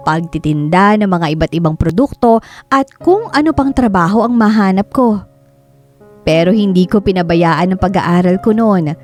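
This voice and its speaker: Radio drama narrator: actress playing dutiful eldest daughter, thirties, earnest and plaintive, mid-range voice over background music. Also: female voice, medium pitch, close to an everyday voice. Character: Karen, 33-year-old dutiful eldest daughter recounting family hardship. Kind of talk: scripted dramatic first-person radio narration. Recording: soft background music under the voice.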